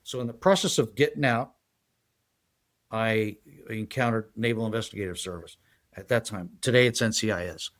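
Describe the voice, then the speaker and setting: Low-voiced older man telling his story. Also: fairly bright tone. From San Diego, USA. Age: 60s. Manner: rambling, halting, with many fillers and restarts. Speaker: guest, retired federal agent. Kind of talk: casual interview storytelling.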